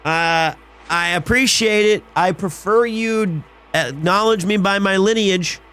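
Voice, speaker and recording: A man in his thirties, chatting casually with viewers on a live stream, slightly raspy and hoarse after being sick, rambling and excitable. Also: sits high, slow. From Wales. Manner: rambling, restarts often, excitable.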